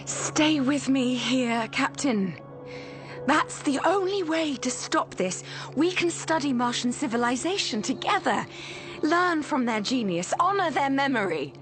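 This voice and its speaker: An actress playing a hardened renegade space explorer in a radio drama, idealistic yet ruthless, speaking gravely and deliberately. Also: female voice, high-pitched, lively intonation, fairly bright tone. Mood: earnest, reverent. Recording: music behind the voice.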